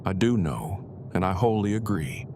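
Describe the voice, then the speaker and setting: A man's voice: sits low, expressive, unhurried, vocal fry, noisy background, heavily acted. A man playing an earnest, bookish husband in an audio drama, speaking in formal, wordy and precise phrases. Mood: tender. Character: verbose, earnest, bookish husband on a road trip.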